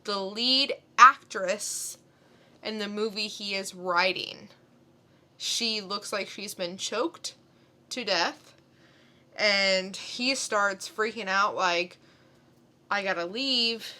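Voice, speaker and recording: A woman with a bright, clear-cut voice recaps a story on a podcast. She takes her time, conversational and a little halting. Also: mid-range voice. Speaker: podcast co-host. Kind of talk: casual comic plot recap.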